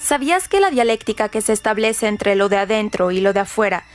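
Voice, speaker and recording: A woman presenting scripted literary trivia for radio, reading in a formal, deliberate manner. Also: mid-range voice, lively intonation. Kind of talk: scripted narration, trivia about a novel. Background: background music.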